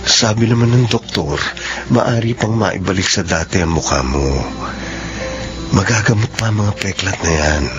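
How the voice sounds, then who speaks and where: A man's voice: deep voice, normal speed, long pauses, natural voice. An actor playing a loving young man who protects his sweetheart, tender and earnest in a radio drama. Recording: dull lo-fi recording with music.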